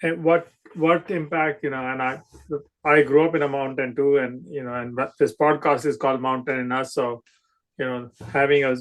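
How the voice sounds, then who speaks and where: A man's voice: medium pitch, fairly even intonation, moderate pace, poor audio. A middle-aged man hosting a podcast, chatting casually with his guest and hesitating often with fillers and restarts. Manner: emphatic, scripted show intro. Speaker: podcast host. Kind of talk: casual interview chat.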